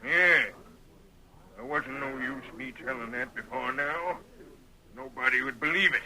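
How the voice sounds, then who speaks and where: An actor playing a stubborn, gruff old American ranch patriarch with a curt, folksy frontier way of talking in old-time radio Western style. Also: male voice, mid-range voice, a little slow, bright, forceful tone, rough recording. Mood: gruff, insistent. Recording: vintage radio drama recording.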